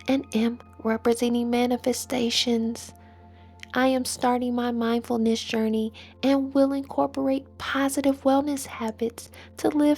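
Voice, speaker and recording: A woman leading a guided meditation in a soothing manner, with phrases that trail off into a breathy near-whisper. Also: fairly high pitch, darkish tone. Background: music.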